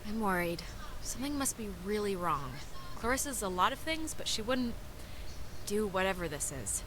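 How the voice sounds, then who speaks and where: A young actress with an American accent playing a sarcastic, quick-witted teenage girl in a game, dry and sardonic. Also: medium pitch, sing-song, noisy background, close to an everyday voice. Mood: worried. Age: young adult.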